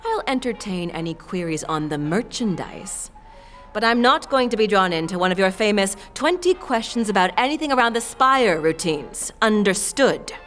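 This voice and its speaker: A woman's voice: mid-range voice, animated, moderate pace, a bit bright, faint music behind, close to an everyday voice. A woman playing an imperious, sly bat-winged fiend shopkeeper in a fantasy audio drama, haughty and teasing with theatrical emphasis.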